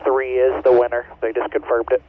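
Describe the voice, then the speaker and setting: A man's voice: mid-range voice, completely flat delivery, fairly quick, narrow, tinny audio. A man working as a race spotter, giving clipped callouts over team radio. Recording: team radio with loud car noise.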